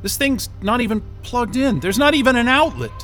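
A man's voice: very high-pitched, very animated, a little fast, a bit bright, soft background music, heavily acted. A man acting in an audio drama as a confused, impatient interview candidate who rambles and hesitates in a casual way. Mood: exasperated, calling out.

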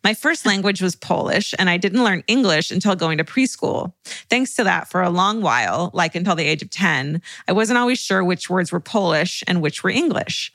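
A woman's voice: fairly low pitch, expressive, normal speed, fairly bright tone, no echo. A woman co-hosting a podcast, reading listener letters and chatting expressively, laughing easily and swearing. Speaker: podcast co-host.

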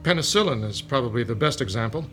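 A man's voice: medium pitch, expressive, fast, fairly bright tone, soft background music, lightly acted. A man playing a lecturing fungus professor. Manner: lecturing, explanatory. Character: male professor of fungi, lecturing, patronizing. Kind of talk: modern audio drama acting.

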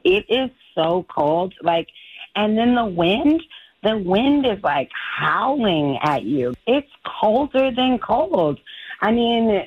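A woman's voice: mid-range voice, sing-song, slow pace, narrow, tinny audio, slight background noise. A woman calling in to a radio show, complaining emphatically. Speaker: caller. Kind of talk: call-in chat.

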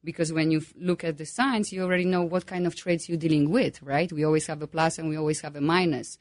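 Low-voiced Polish woman astrologer. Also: steady tone, fast talker, no echo. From Poland. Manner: hesitant, many fillers. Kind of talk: interview guest.

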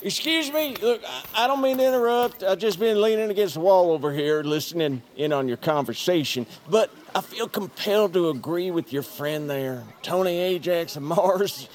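A man's voice: high voice, normal speed, hardly any pauses, a little hiss or hum, natural voice. A man playing a skeptical, meddling bird-like townsperson in a family audio drama, fussy and apologetic. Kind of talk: family audio fiction acting.

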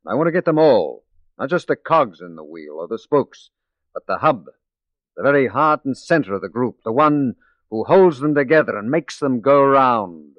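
An actor playing a resolute, righteous detective in a 1940s radio drama, speaking in a measured, emphatic, theatrical way. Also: male voice, medium pitch, animated, no echo, close to an everyday voice. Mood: resolute, grave. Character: resolute, righteous male detective working undercover as a secretary. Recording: muffled 1940s radio recording.

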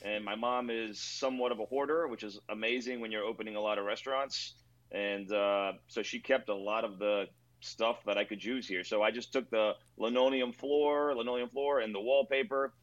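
A man from Maryland, a restaurant owner, telling stories in a relaxed, rambling way with lots of fillers during an interview. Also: fairly low pitch, moderate pace, lo-fi sound. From Rockville, Maryland, near Washington D.C. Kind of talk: casual interview over video call. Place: his own themed bar.

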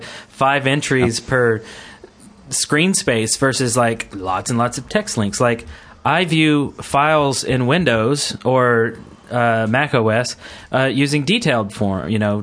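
Excitable, joking male podcast co-host. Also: medium pitch. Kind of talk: casual gaming podcast banter.

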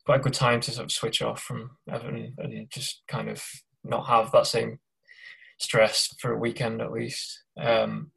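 Male co-host with a heavy gravelly fry and a husky edge, easygoing. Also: mid-range voice, completely flat delivery, unhurried, fairly bright tone, low-quality recording. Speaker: podcast co-host. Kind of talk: casual podcast chat.

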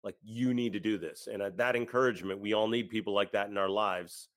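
A man's voice: fairly low pitch, steady tone, fairly quick, fairly bright tone. A man co-hosting a podcast, giving earnest, reflective conversational commentary. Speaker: podcast co-host.

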